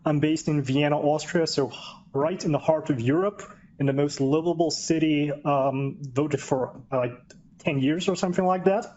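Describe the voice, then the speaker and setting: A man's voice: on the high side, moderate pace, darkish tone, muffled recording, slight background noise. A man speaking English as a non-native speaker, introducing himself in a chatty, self-deprecating way on a webinar panel. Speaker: cybersecurity executive and panelist. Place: based in Vienna.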